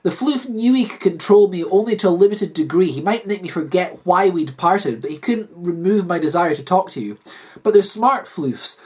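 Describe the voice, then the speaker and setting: A woman voicing a gentle, resigned, grieving man who explains things earnestly. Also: on the low side, animated, moderate pace, natural voice. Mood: firm explaining.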